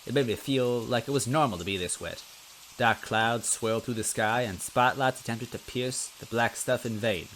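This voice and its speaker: A man giving an expressive, conversational first-person narration as he reads a story aloud. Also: medium pitch, normal speed, slight background noise, low-quality recording. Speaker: story reader.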